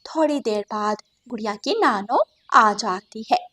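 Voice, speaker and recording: A woman's voice: on the high side, unhurried, bright, forceful tone, breathy edge. A woman narrating a scripted cartoon story as the storyteller.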